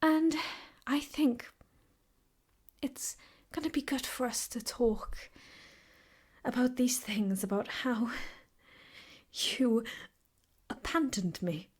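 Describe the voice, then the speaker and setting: A woman acting as an obsessive yandere ex-girlfriend with a fairly high voice, in an ASMR roleplay. She speaks very slowly and haltingly, possessive and intimate, often dropping into a whisper. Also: darkish tone, husky. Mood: accusing, insistent. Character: obsessive, possessive yandere ex-girlfriend holding her captive lover.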